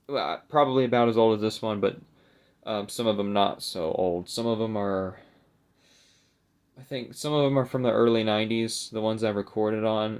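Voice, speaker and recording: A young man with a somewhat low-pitched voice talks casually to his viewers. He rambles without a script, hesitating as he goes and speaking in a self-deprecating way. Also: a little mellow, smooth. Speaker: video creator showing a collectible. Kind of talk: casual unscripted vlog chat.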